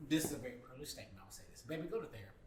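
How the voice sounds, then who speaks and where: A man's voice: medium pitch, expressive, very fast, darkish tone. Emphatic, sassy man in a roomy recording. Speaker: panelist. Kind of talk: candid group discussion, giving advice. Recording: roomy, distant mic, faint background noise.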